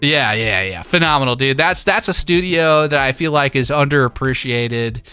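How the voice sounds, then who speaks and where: Clear-voiced man in his thirties, chatting enthusiastically. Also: mid-range voice, lively intonation, normal speed, pushed and bright, soft background music. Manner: enthusiastic reminiscing, slangy. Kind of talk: casual chat about video games.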